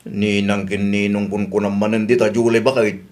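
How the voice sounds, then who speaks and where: A man's voice: fairly low pitch, little pitch movement, normal speed, darkish tone, lightly acted. A man playing a grumbling, reluctant village husband in a comedy radio drama.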